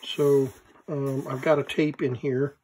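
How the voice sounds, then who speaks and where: Male collector demoing gear, unhurried and halting, casual and unscripted, slight background noise with clicks. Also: medium pitch, level tone, darkish tone, rough recording. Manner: halting, thinking aloud in short fragments. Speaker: vintage electronics collector presenting his item. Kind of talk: casual unscripted hands-on product demonstration.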